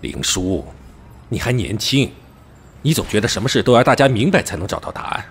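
A man's voice: on the low side, very animated, a little fast, breathy edge, full character voice. A man playing a stern, cautious middle-aged police officer and father, admonishing and emphatic, in an audio drama. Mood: reproachful. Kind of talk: Chinese audio drama acting.

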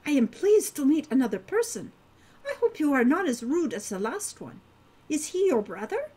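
A woman's voice: sits high, very animated, moderate pace, mellow. A woman voicing a cheerful young centaur girl who speaks in stiff, formal phrasing in a storybook reading.

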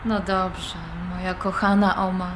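An actress playing a polite, caring young adult daughter in a radio drama, affectionate and natural. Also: female voice, fairly low pitch, flat delivery, unhurried, close to an everyday voice. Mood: fond, teasing. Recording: clearly noisy background.

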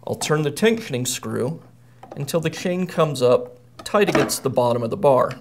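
A man's voice: fairly high pitch, animated, moderate pace, slight background noise. A man in his thirties, a repair technician, explaining a hands-on job step by step in a plain, methodical way. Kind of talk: step-by-step how-to demonstration. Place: indoor workshop at a workbench.

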